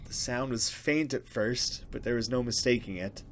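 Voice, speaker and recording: A man's voice: medium pitch, normal speed, a little hiss or hum. A man hosting a let's play, reading a horror visual novel aloud with casual commentary.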